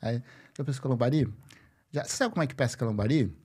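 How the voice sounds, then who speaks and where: A man with a mid-pitched voice hosts a casual conversation. He builds his thoughts haltingly in short pieces, drifting into anecdotes and checking in with little tag questions. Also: a little mellow, slightly rough. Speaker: interview host.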